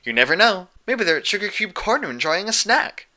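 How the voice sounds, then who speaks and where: A man acts as an eager young mare in an audio story. The voice is perky and chatty, pushed higher, with a very bright tone. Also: very animated, a little fast, exaggerated voice.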